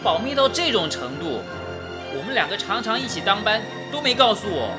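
An actor playing a happy, good-natured young bridegroom who works at a radio station, in a radio drama. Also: male voice, high voice, very animated, a little fast, music in the background. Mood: mock reproach.

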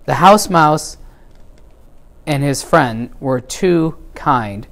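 A middle-aged man reads a children's story aloud in a measured, expressive way. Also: a little high, creaky. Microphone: lapel mic.

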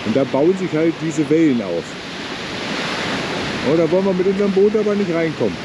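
A man's voice: on the high side, animated, a little fast. A middle-aged man casually narrating a travel vlog into a handheld camera outdoors. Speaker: sailing vlogger. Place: outdoors, boat deck and shore. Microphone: handheld camera at arm's length. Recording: noisy outdoor camera audio.

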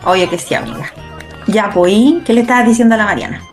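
A woman's voice: mid-range voice, expressive, fast, soft background music, natural voice. Woman as a blunt young Chilean gossip. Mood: catty. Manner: colloquial, blunt. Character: spiteful, nosy young female friend. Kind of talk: radio drama acting.

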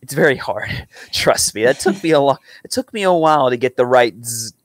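A man's voice: medium pitch, animated, a little fast, no echo. A young man chatting casually and earnestly in a rambling reaction at home. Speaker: reactor and amateur voice actor. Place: small room at home.

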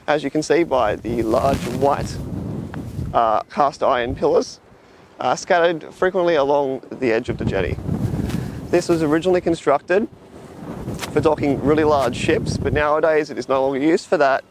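A man's voice: fairly high pitch, moderate pace, noisy background. Chatty young Australian man outdoors. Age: young adult. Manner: chatty, relaxed, filler-heavy, gestures while walking. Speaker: travel vlogger. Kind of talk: casual outdoor vlog. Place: outdoors at a sunny seaside lookout, jetty and beach. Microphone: camera on a tripod a few metres away.

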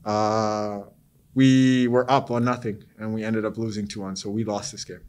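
A young man, a pro soccer player, answering post-game press questions in a measured, steady way with frequent fillers. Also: fairly low pitch, smooth. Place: press room before a sponsor backdrop.

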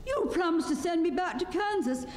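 A woman's voice: very high voice, lively intonation, moderate pace, voice pushed up. A woman voicing an earnest, bold young girl who speaks in an insistent, forthright way in a storybook reading. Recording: long hall reverb, clear background noise.

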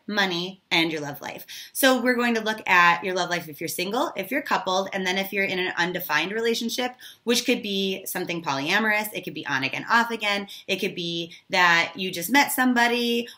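A woman in her thirties speaks in a bright, forward voice with a slight hoarseness, as if recovering from losing it. She sits at a medium pitch and talks informally to her viewers, like a chatty online host giving a reading. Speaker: tarot reader and video host. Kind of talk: casual chatty tarot reading to camera.

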